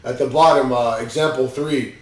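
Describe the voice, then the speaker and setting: A man's voice: mid-range voice, steady tone, moderate pace, a little hiss or hum. A man hosting a podcast, giving casual, rambling and incredulous movie commentary. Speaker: podcast host reviewing a movie. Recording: echoey room, distant mic.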